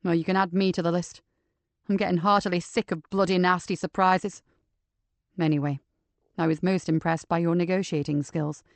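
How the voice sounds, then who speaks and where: A woman's voice: lowish voice, steady tone, normal speed, dry recording, voice pushed down, natural voice. A woman voicing a female police inspector, firm but coaxing, in an audiobook. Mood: shaken. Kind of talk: audiobook character voice.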